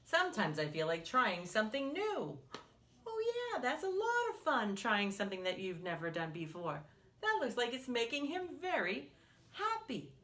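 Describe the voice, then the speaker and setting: A woman reading a picture book aloud to young children as a story time host, expressively, often putting questions to the listeners and pausing for their answers. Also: fairly high pitch, huge pitch swings, a bit bright.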